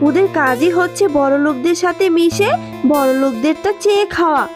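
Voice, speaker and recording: Snobbish cartoon tigress mum played by a woman, high-pitched, bossy, scornful, music underneath. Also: expressive, normal speed. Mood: scornful, angry. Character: haughty, spiteful rich tigress mother, scolding. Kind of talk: Bengali fairytale cartoon dubbing.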